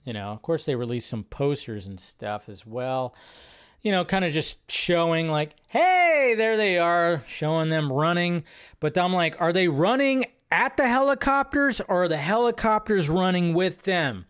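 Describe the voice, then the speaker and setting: A man's voice: high-pitched, sing-song, unhurried, a bit bright, smooth. A man hosting a casual film news livestream, rambling with lots of fillers and restarts as he reads out viewer questions and answers them offhandedly.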